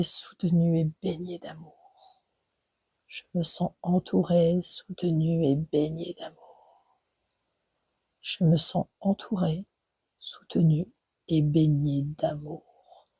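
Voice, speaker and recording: A woman leading a guided relaxation in a soft, breathy voice that often nears a whisper, soothing and unhurried, with gentle pauses between short phrases. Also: fairly low pitch, no echo. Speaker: yoga nidra relaxation guide. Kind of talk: guided meditation narration.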